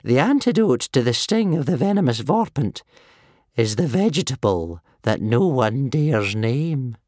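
A man voicing a gloomy, ancient village healer and soothsayer in a storybook, with a wheezy croak and a slow, muttering manner. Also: medium pitch, big pitch swings, darkish tone, dry recording, clean studio sound, voice pushed up. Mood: gloomy, ominous. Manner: slow, muttering, tut-tutting.

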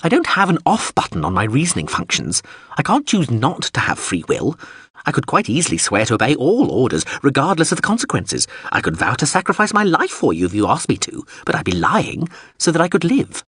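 A pompous sentient robot drone, read by a man in an audiobook, fussy and long-winded in its bureaucratic phrasing. It sits higher than the reader's own voice, with lively, indignant swings. The tone is husky and dry. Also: fairly quick, no room sound, full character voice. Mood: exasperated. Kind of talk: audiobook character voice.

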